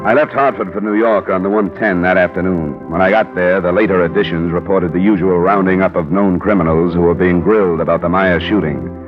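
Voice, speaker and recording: A man plays a world-weary American investigator from a golden-age radio crime show. His voice is low and his delivery clipped, sardonic and interrogating. The sound is narrow and phone-like, like an old broadcast. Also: fairly even intonation, a little fast, over loud music, close to an everyday voice. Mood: grim, reflective. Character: hard-boiled, world-weary male insurance investigator. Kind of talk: 1950s radio detective acting. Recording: muffled, narrow-band old radio recording.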